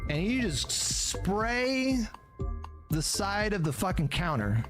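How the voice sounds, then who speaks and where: Male livestreamer, lively swooping delivery, profane and defensive, clear music under the voice. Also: sits high, takes it slowly. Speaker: streamer talking to his chat. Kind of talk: casual rambling livestream talk.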